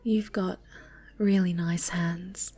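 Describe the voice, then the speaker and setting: Casting: woman to play snobby, bratty cat girl for intimate ASMR roleplay; unhurried, soft and breathy, coy, stammers when flustered. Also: lowish voice, fairly even intonation, soft background music. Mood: shy, soft. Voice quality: soft, often breathy and whispered. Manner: halting, stammering, coy, haughty.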